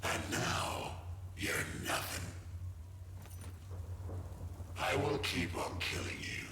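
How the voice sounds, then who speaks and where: A man voicing a sadistic, gloating jailer in a video game, threatening with slow, deliberate taunts. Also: roomy sound, very noisy, close to an everyday voice. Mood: gloating menace. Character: menacing male jailer, sadistic, gloating villain. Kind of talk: video game villain acting.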